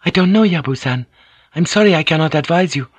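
Man voicing a hesitant Japanese noblewoman, high-pitched and deferential. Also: animated, a little fast, no echo. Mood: troubled, hesitant. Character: troubled Japanese noblewoman interpreter, deferential and anxious.

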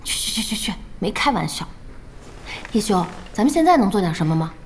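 A woman's voice: mid-range voice, big pitch swings, fairly quick, a little hiss or hum. An actress in a radio drama playing an outspoken, inquisitive young woman on an esports team, direct and questioning. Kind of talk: Chinese radio drama acting.

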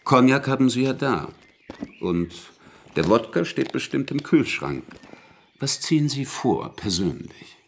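A man in a German radio drama playing a sly, polite investigator who speaks deliberately with insinuating courtesy and quiet menace. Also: medium pitch, very animated. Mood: falsely genial.

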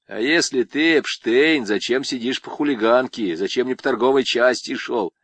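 A man's voice: medium pitch, normal speed, fairly bright tone, no echo, voice pushed up. A man doing a blunt, grumbling village convict. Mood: disapproving. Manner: blunt, grumbling, matter-of-fact. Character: stolid older male village convict, plain and disapproving.

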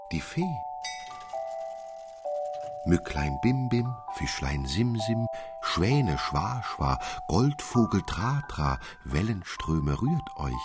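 A man's voice: low voice, heavily acted. Man chanting as lilting fairy-tale water spirits. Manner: chanting rhythmic verse, drawn out. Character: tiny singing fairy-tale water voices, lilting and enchanted.

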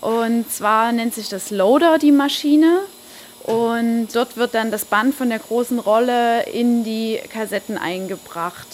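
A woman's voice: on the high side, measured pace. A woman casually explaining manufacturing work on a tour of a small production room. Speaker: cassette production worker, interviewee. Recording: hissy background noise of production room. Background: hiss and room noise.

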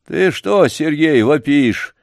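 A man's voice: fairly high pitch, animated, slow pace, gravelly, no room sound, studio quality, voice pushed up, full character voice. A man voicing a frail old grandfather, a grumbling, plaintive circus wanderer in a story, speaking in a folksy, emphatic way. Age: older.

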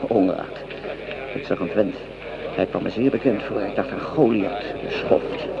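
A man's voice: lowish voice, measured pace, creaky, crowd noise. An actor playing a coarse, sardonic common soldier in a radio play, cursing and resentful of his officers. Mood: bitter, tense. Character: gruff, hungry, rebellious common foot soldier, embittered against officers. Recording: noisy, dull old radio drama recording.

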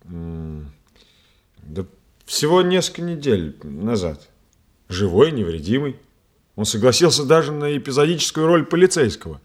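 An actor playing a polite but defensive young stage actor in a Russian radio play, earnest and protesting. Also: male voice, mid-range voice, big pitch swings, normal speed, long pauses. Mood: explaining.

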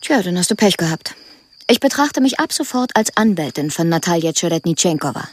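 An actress playing a determined female lawyer in a radio drama, combative and brisk, with a creaky voice. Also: on the low side, lively intonation, lightly acted. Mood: defiant, resolute. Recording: loud noise behind the voice.

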